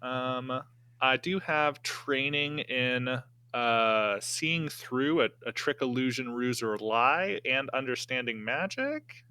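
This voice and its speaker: A man playing a tabletop game, casually improvising his character's story in a halting, think-aloud way. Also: mid-range voice, animated, bright, forceful tone, clear, resonant tone.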